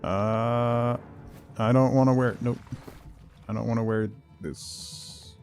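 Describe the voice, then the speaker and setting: A man's voice: medium pitch, steady tone, slow pace, darkish tone, faint music behind. A man chatting casually as he livestreams a game, muttering asides to himself and his viewers. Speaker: game streamer. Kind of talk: casual livestream gameplay chat.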